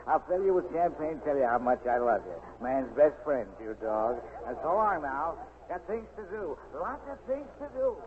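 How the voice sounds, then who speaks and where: A man's voice: fairly high pitch, big pitch swings, normal speed. A man acting a boastful, boozy newspaperman in a 1940s radio drama, swaggering and rambling. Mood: mock-dignified, chummy. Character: boastful, boozy American newspaperman, giddy with new money. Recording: noisy, tinny 1940s radio recording.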